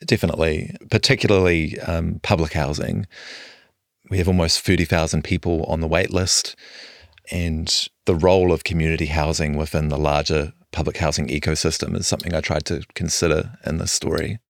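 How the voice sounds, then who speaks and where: A man's voice: extremely low pitch, fairly even intonation, fairly quick, gravelly, no echo, studio quality. A man with a New Zealand accent, speaking as a journalist.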